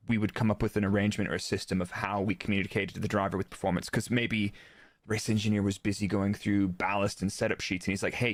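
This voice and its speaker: A man with an American accent and a fairly low voice talks through technical material in a casual way. The sound is dry and close, and he tends to illustrate points with quoted dialogue. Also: dry recording. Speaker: guest, former Formula 1 performance engineer.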